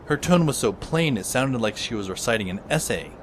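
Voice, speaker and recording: An actor playing a dry, sardonic teenage schoolboy who narrates an audio drama in the first person. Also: male voice, medium pitch, animated, a little fast, slight background noise, lightly acted. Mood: reflective. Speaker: narrator and lead character. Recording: music under the voice.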